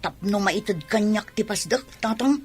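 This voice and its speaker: A woman's voice: medium pitch, normal speed, slightly rough, soft background music, lightly acted. A woman with an Ilocano accent playing a scheming young daughter in a radio drama. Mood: pleading, scheming. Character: scheming young woman talking with her father and suitor.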